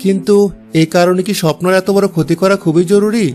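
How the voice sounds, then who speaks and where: A man's voice: high-pitched, steady tone, fast, darkish tone, soft background music, natural voice. A man voicing a two-faced, opportunistic young husband in a cartoon, with an ingratiating manner. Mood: uneasy, questioning. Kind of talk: Bengali cartoon dialogue.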